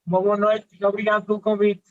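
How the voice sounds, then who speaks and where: A man's voice: high voice, completely flat delivery, fast, no echo. A man, a pharmacist guest on a video call, giving brief, polite replies in casual conversation. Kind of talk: casual interview chat. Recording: dry, muffled video-call audio.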